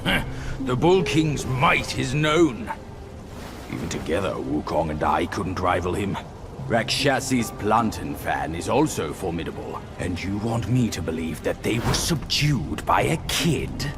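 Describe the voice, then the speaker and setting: A gruff old pig warrior for a fantasy game. The voice is gravelly and swings widely in pitch, with slow, theatrical, suspicious delivery over music. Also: male voice, big pitch swings. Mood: sceptical. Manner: theatrical, old-fashioned phrasing. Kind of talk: video game cutscene acting. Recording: music behind the voice.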